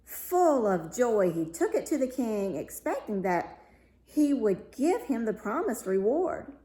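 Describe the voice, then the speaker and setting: A woman reading a fairy tale aloud as narrator, in a measured, expressive storytelling manner. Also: fairly high pitch. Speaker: story reader. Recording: roomy, echoing sound.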